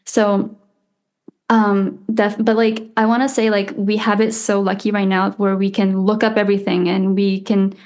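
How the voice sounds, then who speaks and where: A young woman in her twenties whose first language is Japanese talks as a podcast guest. She chats loosely, with lots of "like" and "um" and easy little laughs, at a mid pitch for a woman. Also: level tone, moderate pace, a little mellow. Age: 20s. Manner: chatty, lots of "like" and "um", laughs lightly. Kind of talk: casual interview chat.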